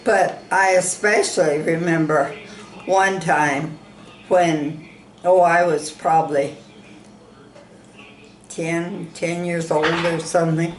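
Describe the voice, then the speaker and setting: A woman's voice: fairly low pitch, echoey room. A woman from South Dakota sharing childhood memories in a family interview, plain-spoken and rambling, recorded in a room of a ranch home. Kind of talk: reminiscing in an interview.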